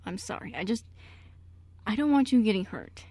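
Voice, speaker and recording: A woman's voice: medium pitch, lively intonation, moderate pace, darkish tone, no room sound, lightly acted. A woman playing a prim, protective and easily flustered older uncle in an amateur radio play, with a fussy, exasperated delivery. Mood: subdued.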